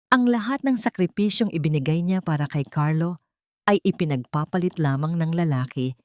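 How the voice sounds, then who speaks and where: A woman storyteller delivers scripted dramatic narration. Her voice is very low for a woman, recorded dry with hardly any room around it. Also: a little mellow, no room sound.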